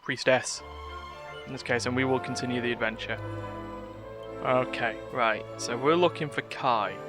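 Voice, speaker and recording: Casual male game streamer: medium pitch, offhand reactions, faint music behind. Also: steady tone, moderate pace. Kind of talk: casual gameplay commentary.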